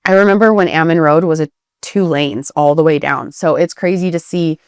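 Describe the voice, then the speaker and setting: A woman's voice: low-pitched, fairly quick, clean tone, no room sound, clean studio sound. A woman in her thirties from Idaho, chatting casually and upbeat in an interview as a real estate agent, with many fillers and restarts. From Idaho, USA. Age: 30s.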